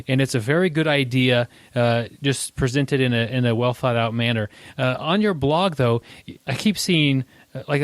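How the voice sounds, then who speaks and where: Podcast host with a dry sound. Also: male voice, mid-range voice, no room sound. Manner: thoughtful, halting, many restarts. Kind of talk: casual interview chat.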